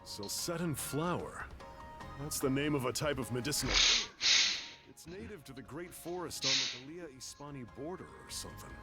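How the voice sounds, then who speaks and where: A man's voice: mid-range voice, sing-song, normal speed, over loud music, natural voice. A man voicing a cheerful, easygoing adventurer and loyal travel companion in a video game, breezy and teasing in manner.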